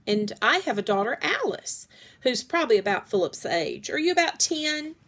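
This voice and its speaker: A woman voicing a kind, calm storybook mother in a friendly, reassuring way. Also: high voice, normal speed, voice pushed up, heavily acted. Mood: welcoming.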